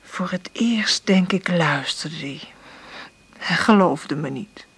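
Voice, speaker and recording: An actress in a Dutch radio play playing a weary, struggling mother, husky and intimate. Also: fairly low pitch, lively intonation, takes it slowly, full character voice. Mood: weary, confiding. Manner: intimate murmured monologue, gentle with her child. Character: weary, struggling mother confiding in her diary.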